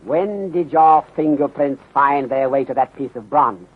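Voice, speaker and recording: A calm detective inspector with a put-on Chinese accent, acted by a man, speaking in measured, formal, clipped sentences. His husky voice comes through a narrow, phone-like 1930s radio recording. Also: medium pitch, close to an everyday voice. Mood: calm, accusing.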